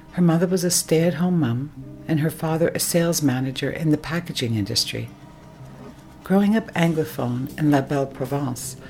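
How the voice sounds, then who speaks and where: A woman hosting a radio story show, reading a measured, formal introduction. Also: low-pitched, darkish tone.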